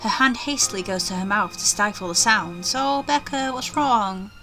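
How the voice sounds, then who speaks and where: A woman's voice: mid-range voice, lively intonation, normal speed, sharp tone, rough recording. A woman gamer reading visual novel narration aloud, with casual commentary. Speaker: gaming video creator. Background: faint music.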